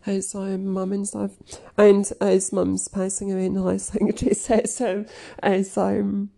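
Sniffly woman, slow and gravelly. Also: medium pitch, little pitch movement, dark tone. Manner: halting, many fillers, keeps clearing throat. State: sniffly from crying. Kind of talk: casual rambling chat.

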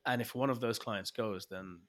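A man's voice: on the low side, normal speed, a bit bright. Chatty male podcast host. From Egypt. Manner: chatty, hesitant, with many restarts and fillers. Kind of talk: casual interview chat.

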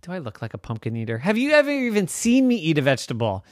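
An incredulous storybook dad, acted by a storyteller. He defends himself with lively, rising pitch and exaggerated disbelief. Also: very fast, no room sound, studio quality, close to an everyday voice. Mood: indignant.

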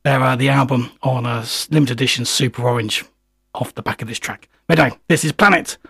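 A man hosting a music radio show, slightly husky while recovering from a chest infection, talking chattily with many fillers. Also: medium pitch, fast, pushed and bright, no echo, clean studio sound. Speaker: radio host.

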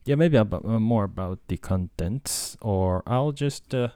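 A Japanese man talks alone in a relaxed, meandering way, stopping and restarting his sentences often. His voice sits very low and sounds soft and mellow. The recording is close and dry, with no room sound. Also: a little slow, clear, resonant tone, no echo. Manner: rambling, hesitant, many fillers and restarts. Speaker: podcast host and language tutor. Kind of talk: casual solo talk.

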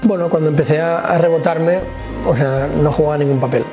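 A man's voice: fairly high pitch, fairly even intonation, a little fast, lo-fi sound, music in the background. A man in his twenties from Barcelona, telling his life story as an interview guest in a rambling way, with many restarts. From Barcelona, Spain. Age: 20s. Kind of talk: casual personal testimony.

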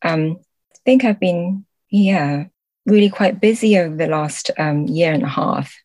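A woman speaking as an interview guest in casual conversation, polite and measured. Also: lowish voice, darkish tone, no room sound. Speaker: podcast guest, translator.

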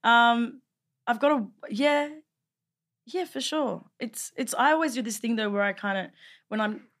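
A woman's voice: on the high side, a little slow, a bit bright. A young Australian woman with an Australian accent, a trained actor chatting casually and laughing often. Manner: laughs often, grounded and reflective. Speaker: actor and podcast co-host. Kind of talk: casual chat.

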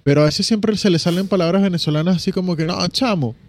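Male podcast co-host, slightly high pitch, relaxed teasing delivery. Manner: relaxed, teasing, drawn-out storytelling. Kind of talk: casual chat.

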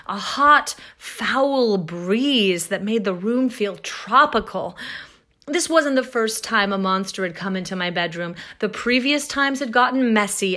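An actress playing an exasperated, confiding woman in a comic first-person story monologue, with wry emphasis and dramatic pauses. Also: medium pitch, bright, forceful tone. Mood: wry, tense. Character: exasperated, wryly confiding woman plagued by monsters and heartbreak. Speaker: actress reading a short story.

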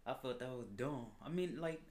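A man appearing as a guest on a podcast, speaking at an ordinary middle pitch. He talks loosely and hesitantly, full of fillers, and laughs along in a relaxed way. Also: moderate pace. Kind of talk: casual podcast chat.

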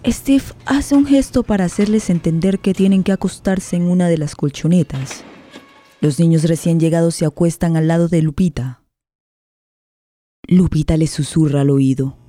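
Very mellow, dark-voiced female narrator. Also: lowish voice, moderate pace. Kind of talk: dramatic scripted radio narration. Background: faint guitar music.

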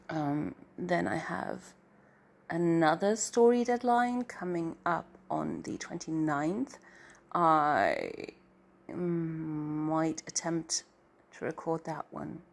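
A woman's voice: lowish voice, creaky. A middle-aged woman with a nasal, stuffy-nosed voice gives a rambling, self-deprecating personal update as a writer and story narrator. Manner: meandering and self-deprecating, trailing off into filler.

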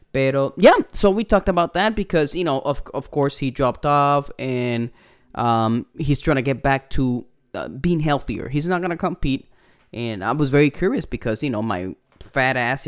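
A young man with a Puerto Rican accent hosting a casual podcast, rambling and profane, with many fillers. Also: medium pitch. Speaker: podcast host.

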